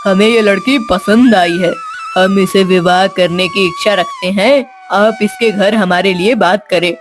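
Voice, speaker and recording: A woman's voice: mid-range voice, measured pace, music in the background, voice pushed down, close to an everyday voice. A woman voicing a regal, commanding king with a formal manner in a cartoon story.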